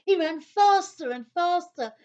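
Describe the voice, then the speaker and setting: A woman narrating a children's story from a script in a theatrical, deliberate manner. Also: extremely high pitch, expressive, darkish tone, dull audio.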